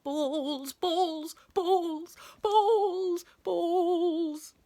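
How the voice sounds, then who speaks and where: A woman voicing a pompous, flustered male schoolteacher in a storybook, booming and repeating words frantically. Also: big pitch swings, extremely slow, fairly bright tone, no echo, heavily acted. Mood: manic muttering.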